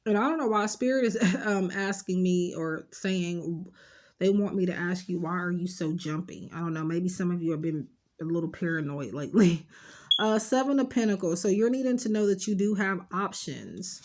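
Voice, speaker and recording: A woman's voice: on the low side, animated, normal speed, darkish tone. A woman with a stuffed-up nose giving a casual, rambling tarot card reading, talking directly and reassuringly to her viewers with lots of fillers. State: stuffed up, sniffling.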